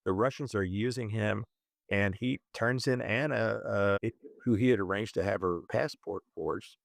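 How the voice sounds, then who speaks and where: A man discussing films conversationally as a podcast host, in a halting, self-correcting way. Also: lowish voice, vocal fry, no room sound, studio quality. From moved to Zambia at eleven, schooled in Kenya.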